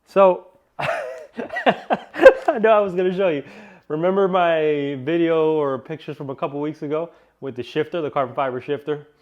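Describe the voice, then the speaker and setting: A man chatting through a casual car-parts unboxing, excitable and rambling, still a little sniffly from a cold. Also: on the high side, normal speed, lo-fi sound. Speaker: car vlogger.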